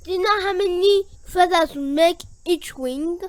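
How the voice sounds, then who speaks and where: A performer voicing an excitable, curious young child sidekick in a children's cartoon, eager and full of exclamations. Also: extremely high pitch, unhurried, no room sound.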